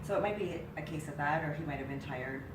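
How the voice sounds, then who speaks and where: Woman in her 30s, congested and nasal, chatty co-host, lowish voice, roomy distant mic. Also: fast talker, background noise. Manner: chatty, animated when excited. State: has a cold, nasal. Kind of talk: casual sports chat. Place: living room couch. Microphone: far camera mic.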